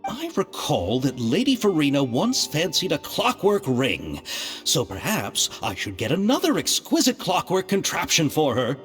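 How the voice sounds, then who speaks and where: An actor playing an earnest, polite, anxious young film producer in an anime game, with a hesitant, formal, dramatic way of talking. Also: male voice, on the high side, wildly swooping pitch, normal speed, a bit bright, in a large hall, soft background music.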